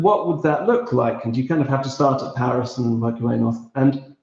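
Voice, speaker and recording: A man's voice: medium pitch, a little mellow. A man from Belgium hosting a pub quiz stream, chatting casually and reading out messages in a meandering, friendly way. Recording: roomy, slightly dull audio.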